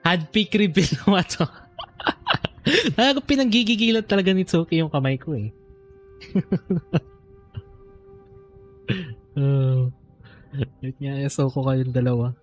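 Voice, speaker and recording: A man's voice: fairly high pitch, unhurried, pauses often. A Filipino-accented man doing casual, slangy live game commentary, mixing English and Filipino. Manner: slangy, swearing. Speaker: game streamer. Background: game music.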